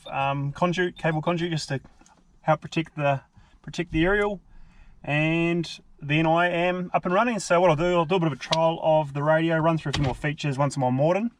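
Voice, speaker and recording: A man with an Australian accent and a slightly high voice talks in a casual, laid-back way, like an amateur reviewer on a vlog. There is noticeable background noise on the recording. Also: steady tone, fairly quick. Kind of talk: casual hands-on vlog narration. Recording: clearly noisy amateur vlog audio.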